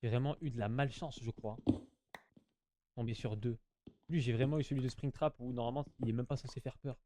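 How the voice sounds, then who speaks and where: Mellow-voiced guy commentating a game. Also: medium pitch, steady tone, fairly quick, slight background noise. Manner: slangy, chatty, addresses viewers, repeats himself. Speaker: gaming YouTuber. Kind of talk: casual gameplay commentary to viewers.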